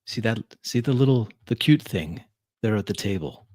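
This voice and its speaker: A man voicing a smooth-talking, coaxing club regular and musician in a tabletop roleplaying game. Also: on the low side, animated, moderate pace, darkish tone, dry recording, clean studio sound.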